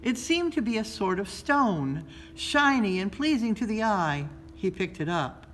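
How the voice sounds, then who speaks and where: A woman's voice: medium pitch, big pitch swings, unhurried. Woman reading a children's story, noisy recording. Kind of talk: read-aloud children's storytelling. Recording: noticeable background noise.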